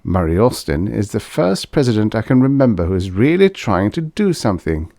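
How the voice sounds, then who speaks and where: A man's voice: on the low side, big pitch swings, fairly quick, gravelly, dark tone, dry recording, clean studio sound. A man voicing a stiff, self-important older scientist in an audiobook story, speaking formally and earnestly.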